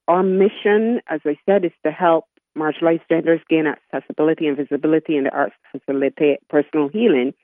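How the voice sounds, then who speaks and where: A woman in her sixties from Barbados, a guest talking in an interview, often halting and restarting her sentences. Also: sits low, no room sound. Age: 60s. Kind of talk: interview conversation. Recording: tinny phone line.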